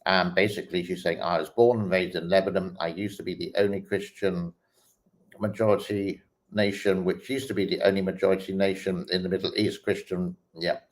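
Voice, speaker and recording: A man hosting his channel, talking through social media clips in a slow, hesitant way with many pauses and fillers. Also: low voice, little pitch movement, rough recording. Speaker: host reacting to online clips. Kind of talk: casual commentary while scrolling a feed.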